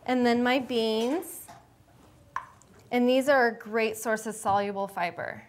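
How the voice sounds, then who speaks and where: A woman's voice: a little high, fairly even intonation, slow pace, slight background noise. A young woman, a dietetics student, plainly explaining each step of a salad recipe in a studio kitchen. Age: young adult. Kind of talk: cooking demonstration.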